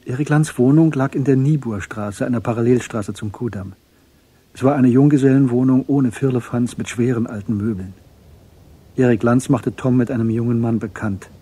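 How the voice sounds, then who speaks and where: A man narrating a crime radio drama in a sober, measured storytelling style with a husky voice. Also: mid-range voice, dark tone, lightly acted.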